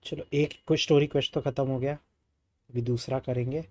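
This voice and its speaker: A man's voice: mid-range voice, steady tone, very fast, darkish tone, no room sound. An Indian man casually commentating on a game he plays, stumbling a little when he reads dialogue aloud. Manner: stumbles over words when reading aloud. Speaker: game streamer. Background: video game music.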